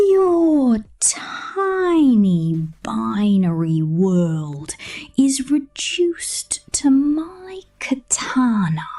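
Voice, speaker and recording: A woman performing an ASMR roleplay as a possessive, teasing space mechanic with a condescending maternal streak, speaking softly and often slipping into breathy whispers. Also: mid-range voice.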